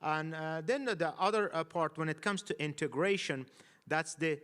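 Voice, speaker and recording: A man from Afghanistan speaks on a conference panel, thoughtful and hesitant, restarting his sentences often. His voice sits a little higher than most men's. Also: normal speed, a bit bright, smooth. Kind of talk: panel discussion.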